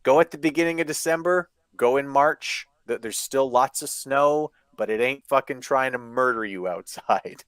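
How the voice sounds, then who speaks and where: Rambling man with a low, dry voice. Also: normal speed, hardly any pauses, fairly bright tone, clean tone, dry recording. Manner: rambling, emphatic, swears casually. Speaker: podcast co-host. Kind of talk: casual live podcast chat.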